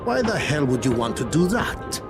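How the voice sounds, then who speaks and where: A man playing a surly, excitable gang guard in a video game, belligerent and profane. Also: medium pitch, normal speed, over music. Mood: hostile. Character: surly male gang guard, easily cowed. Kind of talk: video game cutscene acting.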